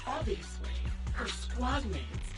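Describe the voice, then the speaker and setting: Gloating evil sniper lady, game villain, super mocking and theatrical, really animated, music under it. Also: fairly high pitch, far from the mic. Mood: cruel, contemptuous. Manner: mocking, theatrical, drawn-out mock politeness. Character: Grim Vulture, gloating sadistic female sniper villain, theatrically taunting. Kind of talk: video game voice acting. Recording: music behind the voice.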